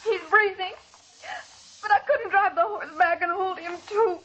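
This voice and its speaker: An actress playing a frightened young frontier bride in an old radio western, plaintive and emotional. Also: female voice, extremely high pitch, fairly even intonation, moderate pace, bright, forceful tone, dull audio. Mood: distraught, pleading. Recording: noisy vintage radio broadcast.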